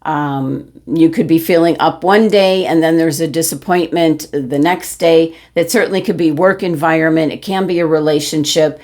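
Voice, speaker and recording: A woman with a hoarse, fading voice, casually talking through a tarot reading with many fillers and restarts. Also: low-pitched, moderate pace, few pauses, a little mellow. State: losing her voice, throat clearing. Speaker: tarot reader.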